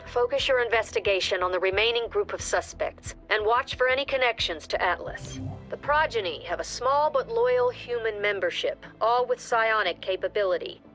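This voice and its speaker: A woman's voice: medium pitch, a bit bright, lightly acted, rough recording. Woman playing a composed, authoritative squad director. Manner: measured, firm. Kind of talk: scripted mission briefing.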